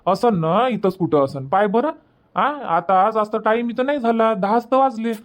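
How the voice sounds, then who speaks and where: A man's voice: high voice, moderate pace, natural voice. A man voicing an exasperated village husband in a cartoon comedy, insistent and repetitive, with a Varhadi Marathi accent. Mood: dismissive. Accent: Varhadi Marathi from Vidarbha.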